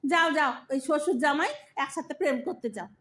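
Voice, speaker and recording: An exasperated old wife played by a woman in a comic audio play. Her voice is very high, with a scolding, sarcastic edge and a mock-sweet way of needling her husband. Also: brisk, fairly bright tone. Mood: angry, scolding. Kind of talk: comic Bengali audio drama acting.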